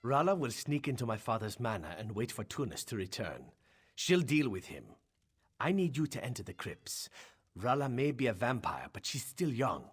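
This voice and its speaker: A man playing a calculating male vampire rebel in a video game, husky, measured and commanding. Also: mid-range voice, very animated. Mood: calm, calculating. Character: male vampire rebel leader, calculating foe of his ancient father.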